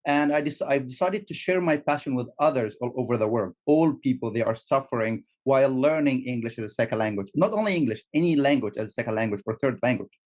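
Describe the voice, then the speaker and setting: Male guest speaking second-language English, halting, repeats words. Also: medium pitch, expressive, a little mellow. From Egypt. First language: Arabic. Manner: repeats words, restarts often. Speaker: guest, teacher trainer. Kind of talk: casual interview.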